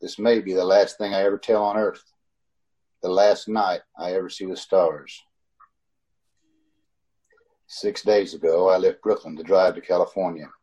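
Haunted male driver, thirties, played by a man, dark husky voice, anxious old-time radio drama delivery. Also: deep voice, little pitch movement, moderate pace, long pauses. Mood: tense, desperate. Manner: urgent, dramatic first-person narration.

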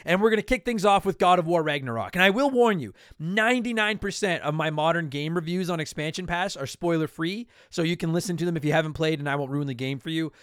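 High-pitched, casual male podcast host. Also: fast talker, few pauses, a bit bright, clean tone, dry recording, studio quality. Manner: self-deprecating, joking, blunt. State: slightly hoarse from talking. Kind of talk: casual solo podcast talk with promotional plugs.

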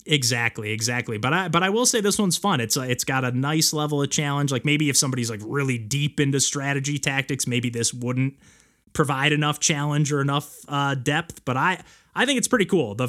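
A man's voice: medium pitch, a bit bright. A man hosting a podcast, chatting casually about video games in a rambling way peppered with filler words. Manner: rambling, frequent "like". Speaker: podcast host.

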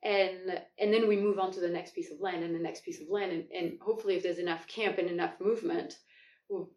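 A woman from France, a guest telling her story in casual conversation, enthusiastic, with halting restarts and drawn-out words. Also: lowish voice, fairly even intonation, darkish tone. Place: home kitchen, indoors. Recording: echoey room, far from the mic.

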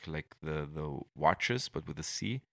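Middle-aged austrian guy, really low voice, dry close sound, keeps restarting his sentences. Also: lively intonation, measured pace, vocal fry, fairly bright tone, no room sound. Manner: rambling, many restarts and fillers. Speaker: guest. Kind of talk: conversational interview.

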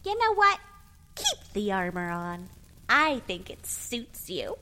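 A woman's voice: medium pitch, huge pitch swings, slow, noisy background. A woman voicing a perky, prissy servant in a sci-fi audio drama, sugary and theatrical. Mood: perky, evasive. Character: perky, prissy female servant, doting and easily scandalised.

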